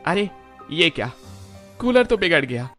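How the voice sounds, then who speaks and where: A man voicing a tired, kindly poor labourer husband in a cartoon, with expressive delivery. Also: fairly high pitch, a little slow. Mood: dismayed. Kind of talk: Hindi cartoon voice acting. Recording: music under the voice.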